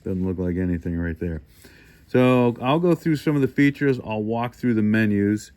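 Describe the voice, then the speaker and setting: A man's voice: lowish voice, normal speed, a little mellow. A man hosting a casual hands-on product walkthrough, talking informally and thinking aloud as he goes. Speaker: host of a hobby radio review channel.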